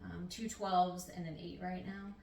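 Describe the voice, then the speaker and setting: A woman's voice: on the low side, level tone, a little slow, vocal fry, darkish tone. A middle-aged woman, a nurse practitioner, answering interview questions in an easygoing, talkative, slightly meandering way. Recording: roomy, distant mic, faint noise.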